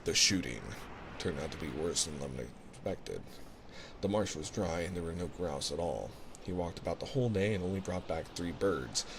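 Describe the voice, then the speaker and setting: A man with a low voice narrates a classic novel as bedtime ASMR. His delivery is soothing and measured but swings widely in pitch, with a fry-edged grain. He is close on a binaural mic, with clear ocean noise underneath. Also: animated. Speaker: audiobook-style reader, ASMR host. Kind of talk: ASMR bedtime story reading. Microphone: close binaural ASMR microphone. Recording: noisy ambience of recorded ocean waves. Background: ocean sounds.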